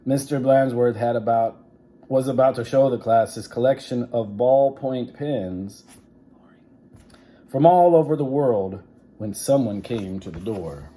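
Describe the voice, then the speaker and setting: A man reading a children's story aloud like a teacher, expressive and deliberate, with dramatic pauses and asides. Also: mid-range voice.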